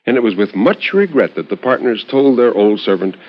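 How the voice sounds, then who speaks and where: A man narrates in the style of a 1950s radio storyteller, on a dull vintage recording. His pitch moves widely and expressively through measured literary phrasing. He lingers on words and leaves dramatic pauses. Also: very animated. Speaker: narrator reading a short story on a radio horror anthology.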